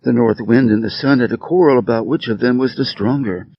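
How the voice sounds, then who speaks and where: A man giving a measured, scripted reading of short fables for English learners, enunciating each phrase. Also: medium pitch, muffled recording. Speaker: story reader.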